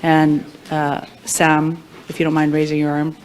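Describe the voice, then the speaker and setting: Low, even-toned woman with faint noise. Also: measured pace. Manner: gentle, careful, with fillers. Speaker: press conference moderator. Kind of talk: announcements at a press conference.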